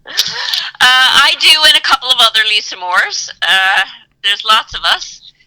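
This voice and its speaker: A woman author answering interview questions in unhurried casual chat, with frequent fillers and amused asides. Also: a little high, lively intonation, pushed and bright, clear, resonant tone, low-quality recording. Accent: Newfoundland. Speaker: guest author.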